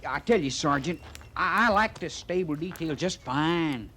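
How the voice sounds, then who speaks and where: A high-voiced, folksy cavalry trooper. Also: male voice, expressive, a little slow, pushed and bright. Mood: flustered. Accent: American, rural western. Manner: drawling, folksy, hesitant under pressure. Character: easygoing, dreamy cavalry trooper, folksy and work-shy. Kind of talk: old-time radio western acting. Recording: noisy old radio drama recording.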